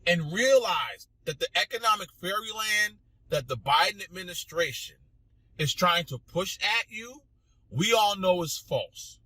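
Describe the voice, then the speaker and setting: A man's voice: high-pitched, lively intonation, a little slow, pushed and bright, no room sound, poor audio. A man hosting a political talk show, speaking straight to listeners in an emphatic, indignant monologue full of repetition and rhetorical questions. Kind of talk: opinionated political commentary monologue addressed to listeners.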